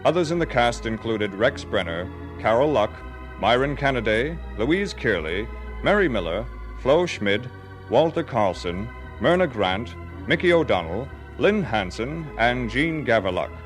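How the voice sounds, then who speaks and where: Radio announcer: man, mid-range voice, formal scripted delivery, soft music bed. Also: mid-range voice, sing-song, a little slow.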